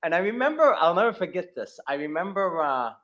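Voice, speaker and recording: A man's voice: high-pitched, very animated, a little fast, fairly bright tone. A middle-aged man from New England with a Boston accent, telling long stories as a podcast guest, rambling with many restarts. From New England, United States. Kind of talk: casual podcast chat.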